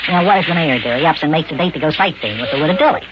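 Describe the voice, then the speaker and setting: A man's voice: on the high side, lively intonation, very fast, natural voice. A man voicing a small, boyish, grumbling and indignant elf in a 1950s children's radio serial. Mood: disgusted grumbling. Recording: noisy, hissy, muffled old radio recording.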